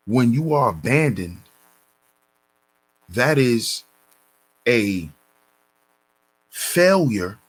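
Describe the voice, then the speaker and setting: A man hosting a commentary channel, reading a news story and lecturing on it in a deliberate, preachy way, calling his listeners brothers. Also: mid-range voice, poor audio.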